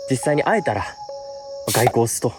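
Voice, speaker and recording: Animated man hosting with music behind. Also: medium pitch, normal speed. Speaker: host of a ghost-spot exploration show. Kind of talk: casual explaining to camera on location. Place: outdoors at night at a shrine.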